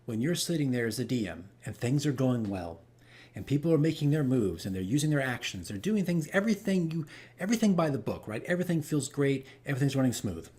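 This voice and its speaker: A man's voice: mid-range voice, big pitch swings, quick pace, mellow. A man hosting a casual vlog as a game master, telling stories in a rambling, self-deprecating way and repeating phrases for emphasis. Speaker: host, dungeon master. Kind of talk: casual campaign diary vlog, storytelling.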